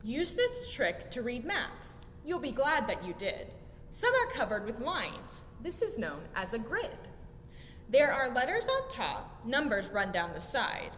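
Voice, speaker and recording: A young adult woman reads a rhyming children's picture book aloud in an expressive, sing-song way with emphatic swoops. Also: unhurried. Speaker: story time reader at a museum. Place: indoor museum exhibit room. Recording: echoey room with low rumbling noise.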